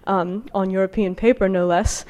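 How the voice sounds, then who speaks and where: A woman giving a scholarly academic talk, presenting research and reading from texts in a careful, somewhat halting way. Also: medium pitch, fairly even intonation, a little mellow. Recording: long hall reverb.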